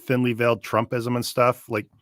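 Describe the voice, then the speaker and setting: A man's voice: mid-range voice, level tone, moderate pace, a bit bright, no echo, clean studio sound. A man co-hosting a casual reaction show, commenting in a dismissive, mocking way. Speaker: show co-host.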